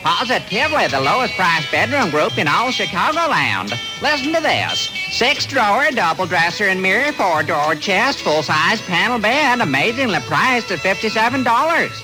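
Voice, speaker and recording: Male retail pitchman, high and animated, music under, vintage AM radio. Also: normal speed, few pauses, low-quality recording. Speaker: radio advertisement announcer. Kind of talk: 1960s hard-sell retail radio ad. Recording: old AM radio aircheck, music behind.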